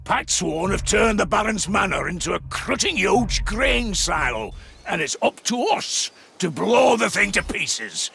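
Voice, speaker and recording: A gruff, battle-loving dwarf engineer from a fantasy video game. The voice is heavily gravelled yet pitched fairly high, swinging widely as he talks. He is hearty, colloquial and full of swagger. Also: very animated, unhurried, bright, forceful tone, full character voice. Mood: wry, determined. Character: gruff, boisterous dwarf engineer, fond of fights. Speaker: mission-briefing game character. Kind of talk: scripted video game voice lines.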